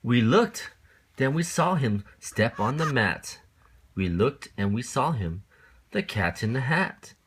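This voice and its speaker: A man narrating a children's storybook as a parent would, with expressive, deliberate, rhythmic phrasing. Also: mid-range voice, a little hiss or hum.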